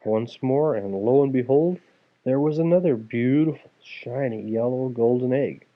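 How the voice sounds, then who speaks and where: A man's voice: mid-range voice, unhurried. A man reading a children's fairy tale aloud as a storyteller, acting it out as he goes. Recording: tinny, phone-like narrow sound.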